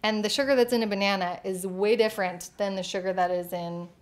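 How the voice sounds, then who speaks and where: A woman's voice: medium pitch, fairly even intonation, fairly quick. Young woman, casual co-host, chatty, laughs easily, answers fitness questions. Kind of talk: casual Q&A chat.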